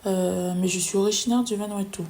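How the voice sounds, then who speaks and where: A woman in her twenties from New Caledonia giving personal testimony conversationally as an interviewee, pausing hesitantly mid-sentence. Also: medium pitch, fairly even intonation, darkish tone. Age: 20s. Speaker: podcast interviewee.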